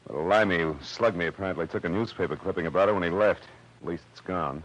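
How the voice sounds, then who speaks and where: An actor playing a wry, tough-talking, slangy private eye in 1940s radio detective style. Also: male voice, low-pitched, fast, dull audio. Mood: wry, businesslike. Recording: noisy old 1940s radio recording.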